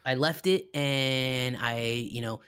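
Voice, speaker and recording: A man co-hosting a podcast, chatting casually about a film in a rambling, halting way, repeating himself for emphasis. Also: medium pitch, fairly bright tone, clean tone. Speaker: podcast co-host. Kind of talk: casual film review chat.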